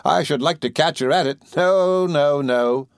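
Man voicing an exclamatory, devoted servant woman, raised pitch. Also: unhurried, clear, resonant tone, no echo, studio quality. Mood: devoted, fussing. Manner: exclamatory, colloquial, fussing. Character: warm, indignant, devoted woman servant, blunt and effusive. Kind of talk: audiobook character voice.

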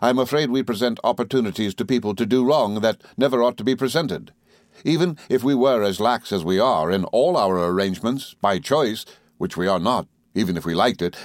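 Man playing an earnest, gently reproving young husband. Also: lowish voice, dry recording, studio quality, lightly acted. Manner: measured, formal, entreating. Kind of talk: dramatised classic novel reading.

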